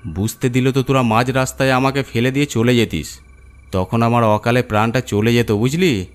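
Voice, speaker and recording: A man's voice: medium pitch, steady tone, moderate pace, smooth, natural voice. Man playing bossy village leader, scolding and commanding. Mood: reproachful. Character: shrewd bossy village man leading corpse bearers. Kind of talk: horror cartoon story dialogue.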